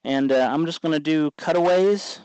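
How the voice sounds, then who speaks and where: A man hosting a casual hands-on tutorial, explaining in a halting way as he works. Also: on the high side, a little mellow, clear, resonant tone, no room sound, low-quality recording. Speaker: host of a DIY electronics tutorial.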